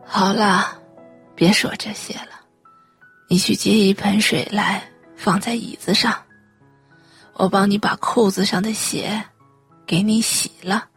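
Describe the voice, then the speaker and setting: A woman acting a frail, ailing mother in a Chinese radio drama, gentle and weary, with a breathy delivery. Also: mid-range voice, steady tone, unhurried, pauses often, soft background music. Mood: tired, kindly.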